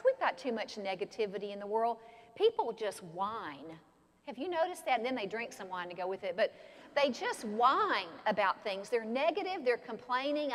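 An older woman pastor preaching an animated sermon from a pulpit in a large church sanctuary. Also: a little high. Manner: animated, expressive preaching with gestures. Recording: long church reverb.

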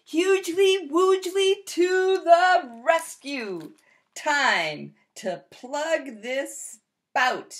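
A woman's voice: sits high, lively intonation, extremely slow, full character voice. A woman voicing a big, bumbling, eager and indignant storybook spider in a theatrical, exclamatory children's read-aloud. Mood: eager, proud. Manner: theatrical, exclamatory, comically aggrieved.

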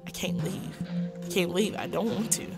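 A woman's voice: lowish voice, fairly even intonation, moderate pace. A woman hosting a let's play, reading visual novel dialogue aloud in a dramatic, acted way. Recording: music playing behind voice.

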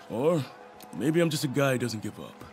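A man's voice: mid-range voice, a little hiss or hum. An actor with an American accent playing a humble, sincere middle-aged police officer and family man in a video game. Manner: modest, sincere. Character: humble, warm-hearted police officer and father.

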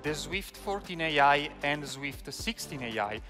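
A man's voice: fairly high pitch, lively intonation, a little slow, music in the background. A man delivering a scripted, polished product announcement.

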